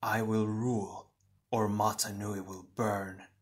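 A menacing shadow creature from a fantasy storybook, acted by a male reader. The voice is low and hushed, hissing and crackling. It taunts slowly, with seductive malice. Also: level tone. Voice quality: breathy, hissing, crackling whisper. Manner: slow, mocking, seductive menace. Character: sinister shadow double of a fire warrior, taunting, menacing.

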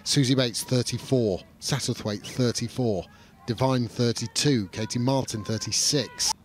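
A man's voice: medium pitch, measured pace, a little hiss or hum. A man giving live cricket commentary and analysis.

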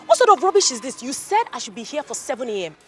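A woman's voice: high voice, sing-song, fast. A woman with a Nigerian accent playing an indignant, demanding customer in a comedy skit, scolding and insistent. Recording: music behind the dialogue.

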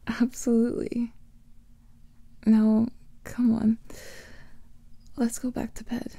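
Casting: woman playing a doting vampire girlfriend, slow breathy whisper, dark gravelly tone, dry close mic. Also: fairly high pitch, monotone, no echo. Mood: affectionate. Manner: soft intimate whispering, doting. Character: affectionate female vampire lover, doting. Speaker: ASMR roleplay performer. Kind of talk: whispered ASMR roleplay.

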